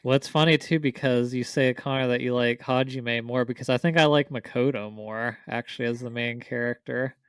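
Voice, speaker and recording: A man's voice: mid-range voice, fairly even intonation, moderate pace, hardly any pauses, clear, resonant tone, no room sound. A man co-hosting a casual group discussion. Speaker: podcast co-host.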